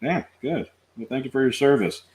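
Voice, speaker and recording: A man's voice: medium pitch, lively intonation, normal speed, a little mellow. A man hosting an interview, asking short, friendly questions. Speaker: interview host. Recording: echoey remote voice.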